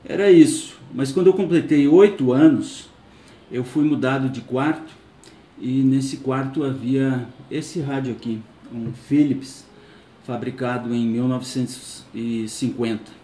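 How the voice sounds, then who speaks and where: A man's voice: medium pitch, measured pace, dark tone, a little hiss or hum. A man in his fifties with a southern Brazilian accent, telling personal recollections. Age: 50s. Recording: echoey room.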